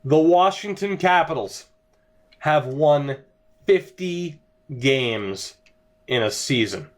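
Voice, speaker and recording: A young man giving jokey, excitable commentary over a hockey video game, his voice worn as he loses it. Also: a little high, takes it slowly. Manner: excitable, jokey, laughs often. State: losing his voice. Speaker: gaming YouTuber. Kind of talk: casual gameplay commentary.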